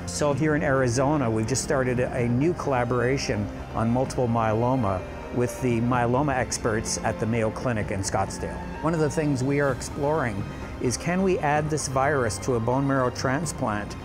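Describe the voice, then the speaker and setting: A man's voice: medium pitch, normal speed, music in the background. An older man, a researcher, explaining his work earnestly in a documentary interview in a lab. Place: lab, indoors.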